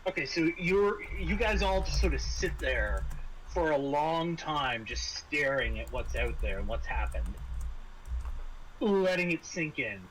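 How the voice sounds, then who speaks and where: Halting male game master, casual narration. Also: on the high side, animated, a little hiss or hum, low-quality recording. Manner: halting, repeats words.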